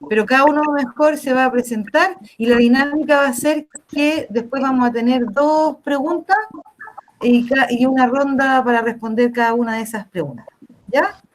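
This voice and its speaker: A woman's voice: sits high, slight background noise. A woman with a Chilean accent moderating an online panel, talking casually with many fillers and restarts. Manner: casual, filler-heavy, fast with pauses. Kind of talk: meeting introduction.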